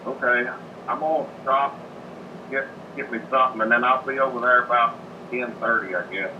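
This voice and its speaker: A man's voice: medium pitch, steady tone, normal speed. A man chatting casually and informally on a phone call played through a speaker. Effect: phone call through a car radio speaker. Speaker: phone caller. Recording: dull, noisy phone call over speaker.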